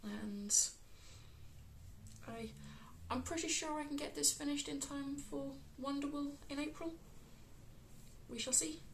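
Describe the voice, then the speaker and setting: A woman with a West Midlands accent, hosting a casual crafting podcast, croaky from a cold and filling pauses with ums. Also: high voice, slight background noise, poor audio. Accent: West Midlands English. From England. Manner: chatty, many ums, unhurried.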